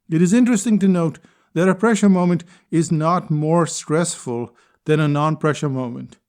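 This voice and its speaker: A man calmly delivering a reflective spoken essay, telling a personal story at a measured pace with deliberate pauses. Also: on the high side. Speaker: speaker and consultant.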